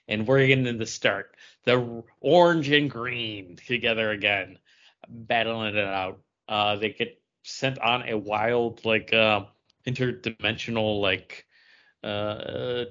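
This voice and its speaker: A man's voice: mid-range voice, fairly bright tone, lo-fi sound. A man co-hosting a podcast in casual chat, rambling and often repeating himself as he goes. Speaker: podcast co-host. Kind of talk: casual comic book chat.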